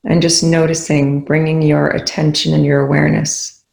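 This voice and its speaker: Gravelly, unhurried meditation guide in echoey room. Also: mid-range voice, level tone, a little mellow. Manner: soothing, unhurried with long pauses.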